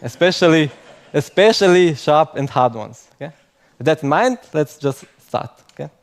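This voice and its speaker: A man's voice: on the high side, animated, moderate pace. A young man speaking English as a second language, giving a joking conference talk and often tagging sentences with "okay?". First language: German or Croatian. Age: young adult. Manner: joking, non-native English with frequent "okay?" tags.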